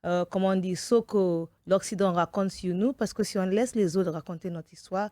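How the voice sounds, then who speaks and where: A woman's voice: mid-range voice, steady tone, normal speed, darkish tone, no echo. Woman guest, English speaker talking French. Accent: anglophone African. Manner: earnest, searching for words, many hesitations. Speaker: festival producer, guest. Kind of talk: radio interview.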